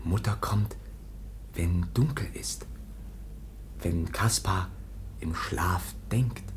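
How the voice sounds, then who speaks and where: A timid foundling lad of about seventeen in a radio play with audible noise. He speaks extremely slowly in broken scraps, like a small child learning words, and refers to himself by name. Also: low voice. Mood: dreamy, wistful. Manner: halting broken speech, naming himself in third person. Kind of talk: German radio drama acting.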